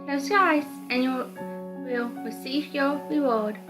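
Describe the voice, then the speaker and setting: Woman voicing a seductive, gloating supernatural mistress, raised pitch. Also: a little slow, over music. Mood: commanding, seductive. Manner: gloating, commanding, condescending. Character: seductive, proud, malicious supernatural mistress claiming a servant.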